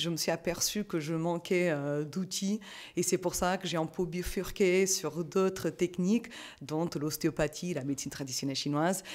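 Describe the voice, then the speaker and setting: A middle-aged woman, a physiotherapist, talking casually in an interview, chatty, with many fillers and restarts. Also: on the low side. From France. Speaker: guest, physiotherapist.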